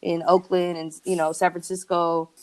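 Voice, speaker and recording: A woman with a slightly low voice talks candidly as a podcast guest. Her speech is informal and slangy, sprinkled with "like" and "you know," in the style of personal storytelling. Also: flat delivery, moderate pace, a little mellow. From Argentina and United States. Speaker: podcast guest, creative working from home.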